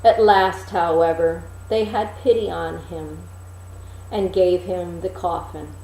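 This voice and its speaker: Lady telling a fairy tale, mellow voice, measured dramatic pauses, echoey room w faint noise. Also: on the low side, fairly even intonation. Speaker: storyteller reading a fairy tale. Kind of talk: expressive, measured storybook reading. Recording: roomy echo, faint background noise.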